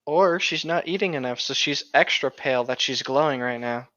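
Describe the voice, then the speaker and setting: A man with a bright, forward voice at an ordinary pitch, bantering casually as a co-host. He sounds flippant, and the recording is a little dull. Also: moderate pace. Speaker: podcast co-host reading and riffing on a novel. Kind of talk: casual banter with read-aloud.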